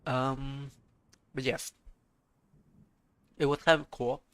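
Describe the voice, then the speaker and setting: A man streaming a game, chatting in halting casual commentary full of restarts. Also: medium pitch, little pitch movement, smooth.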